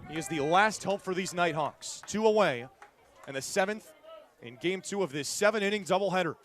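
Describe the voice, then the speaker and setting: A man's voice: high-pitched, animated, brisk, fairly bright tone. A man doing live play-by-play sports commentary in punchy, clipped phrases, as an announcer calling the game. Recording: live stadium crowd behind the voice. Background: outdoor ballpark crowd.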